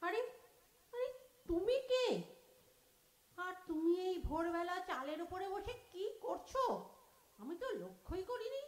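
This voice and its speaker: Woman acting a very high-pitched startled housewife. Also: big pitch swings, unhurried, long pauses, recorded from a distance, full character voice. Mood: surprised, demanding. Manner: exclamatory, questioning. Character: startled, scolding lady of the house. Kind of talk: Bengali audio drama acting. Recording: echoey room.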